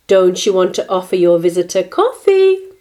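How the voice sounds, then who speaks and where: A woman's voice: fairly low pitch, sing-song, moderate pace, dark tone. A woman voicing a scolding, insistent old Cuban grandmother cockroach in a storybook, calling out commandingly.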